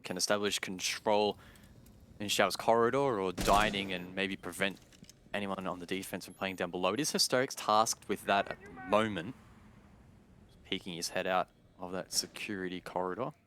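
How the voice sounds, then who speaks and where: A man commentating live on an esports match in a measured, analytical way. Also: low-pitched, fairly even intonation, a bit bright, slight background noise. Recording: game gunfire and clicks behind voice. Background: game gunfire, explosions and clicks.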